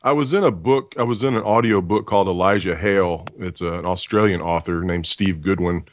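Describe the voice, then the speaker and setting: Deep-voiced voice actor, dry humor. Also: male voice, fairly quick. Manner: dry joking, self-deprecating. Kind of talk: interview answers.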